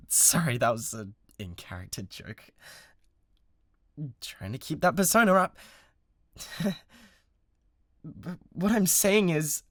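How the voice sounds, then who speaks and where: A man acts out an obsessive young British yandere streamer in an intimate audio roleplay. His delivery is bratty and needy, swinging widely between hushed menace and insistent pleading. The recording is very dry and close to the listener. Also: a little high, no echo. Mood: nervous, backtracking. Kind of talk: dramatised one-man roleplay addressed to the listener.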